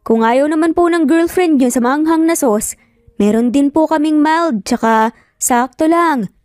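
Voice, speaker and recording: Woman as friendly teasing street food vendor guy, polite n chatty, high voice, story w music. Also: unhurried, darkish tone, dry recording, studio quality. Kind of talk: dramatic romance storytelling.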